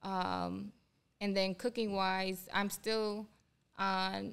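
Young woman from Ohio, Spanish first language, flat even delivery, very clear voice, hesitant with fillers. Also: mid-range voice, drawn-out words. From Ohio, USA. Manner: hesitant, many "um"s. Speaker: guest, army culinary instructor. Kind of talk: casual interview. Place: small studio room. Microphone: desk mic on boom, headphones.

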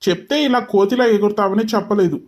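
A man's voice: high voice, fast, lightly acted. A man playing an arrogant, short-tempered young boss in a dramatized story, curt and mocking, dropping in English phrases. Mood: mocking, defensive.

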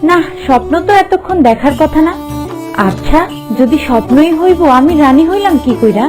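A woman plays a kind, decisive housemaid turned queen in a cartoon fairy tale. Her voice is high, with a plain rural Bangladeshi accent. Light music plays behind her. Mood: puzzled, wondering. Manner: plain-spoken, colloquial. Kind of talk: cartoon voice acting.

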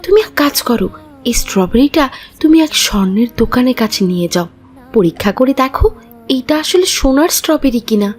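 A woman's voice: on the high side, expressive, brisk, darkish tone, breathy edge. A woman voicing a caring, practical village mother in a children's cartoon. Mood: attentive.

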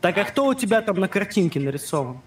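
A man's voice: high voice, big pitch swings, fast talker. A man on a video call who talks crudely, swearing and mocking. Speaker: chat partner. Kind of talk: casual video chat. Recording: distant mic with crowd noise.

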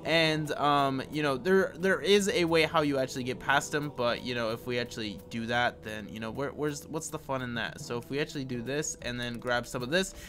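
Slightly raspy man hosting a gaming tutorial. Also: medium pitch, fairly quick, few pauses, a bit bright. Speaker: gaming video host. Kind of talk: casual gameplay tutorial commentary. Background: game music.

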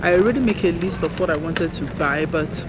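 A woman's voice: lowish voice, brisk, heavy background noise. A woman in her thirties from Nigeria, chatting casually to viewers on a family vlog, filmed on a handheld phone in a big store. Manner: chatty, addresses viewers directly. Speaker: vlogger mom. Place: large store, indoors. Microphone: phone camera held at arm's length.

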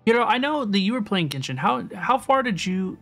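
A woman's voice: medium pitch, very animated, brisk. Chatty woman streamer, repeating herself. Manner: repeats phrases, addresses viewers. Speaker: game livestreamer. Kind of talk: casual livestream chat over gameplay. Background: game music.

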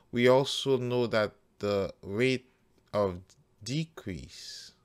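Very slow-talking man teaching math. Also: fairly low pitch, smooth. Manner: deliberate, halting phrase by phrase. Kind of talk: step-by-step worked-problem tutorial.

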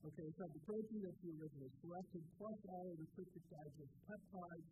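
A man's voice: high-pitched, quick pace, background noise. A middle-aged man giving a technical conference lecture, explaining step by step as a presenting scientist at a podium. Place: indoor lecture room at a podium.

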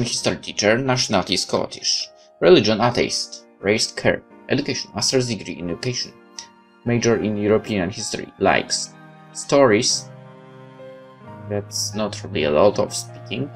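Male let's-player, somewhat deep, reads story text aloud and comments over game music. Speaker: gaming video host. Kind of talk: video game let's play, reading text aloud with asides.

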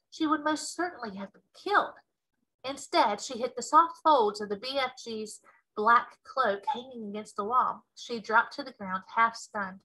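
A woman in her thirties reading a children's story aloud with expressive narration, like a teacher. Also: fairly high pitch, poor audio.

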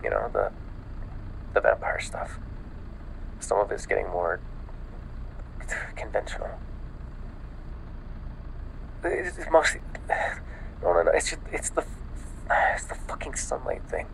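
A man playing a panicked, exhausted newly turned vampire in an ASMR audio roleplay, stammering and often dropping into a breathy whisper. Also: lowish voice, huge pitch swings, slight background noise, full character voice, poor audio. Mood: anxious, weary. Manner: halting, stammering, breathy sighs and frequent swearing.

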